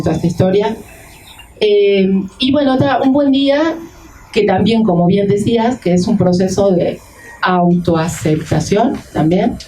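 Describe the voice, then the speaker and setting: A woman in her fifties from Uruguay tells a reflective personal story in a panel discussion, pausing often as she thinks aloud. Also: fairly low pitch, dark tone. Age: 50s. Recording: distant mic with clear noise.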